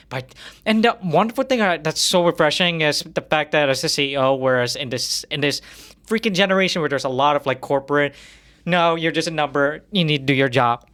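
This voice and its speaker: Earnest male host at medium pitch. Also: fairly bright tone. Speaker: podcast host, interviewer. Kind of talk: casual, conversational interviewing.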